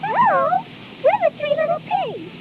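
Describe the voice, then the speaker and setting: An actor voicing a cheeky, giggly little cartoon pig with a squeaky childlike voice, in 1930s radio comedy style. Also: big pitch swings, a little slow, heavy background noise, heavily acted. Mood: cheerful. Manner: giggly, teasing, singsong. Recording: tinny 1930s radio broadcast with music.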